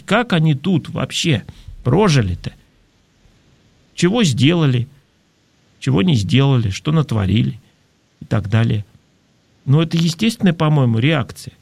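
Male talk host, slightly high-pitched, dry close sound, reflective and explanatory. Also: creaky, no echo. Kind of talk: conversational advice talk, reading listener messages.

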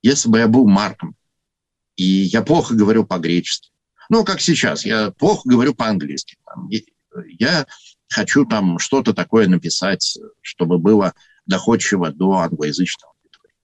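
A man with a husky voice giving an unscripted talk on church history, thoughtful and full of hesitations. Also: on the low side, expressive, moderate pace, dry recording.

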